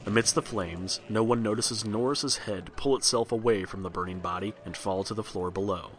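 A man's voice: on the low side, moderate pace, noisy background. A man reading a scripted storybook narration for a read-along record.

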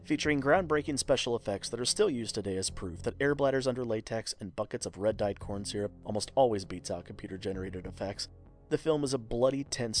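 A man giving scripted narration as a podcast host and storyteller. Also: fairly low pitch. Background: faint music.

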